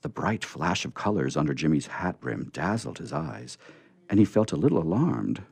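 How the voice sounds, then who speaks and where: Deep-voiced, expressive male storyteller. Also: normal speed, vocal fry, slightly rough, no room sound. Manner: dramatic, expressive reading. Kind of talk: storybook read-aloud narration.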